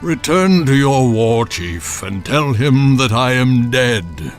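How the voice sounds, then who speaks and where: A man's voice: mid-range voice, big pitch swings, slow, soft background music. An actor voicing a weary, grief-stricken old bull-man chieftain in a video game, heavy and despondent. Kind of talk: video game cinematic acting.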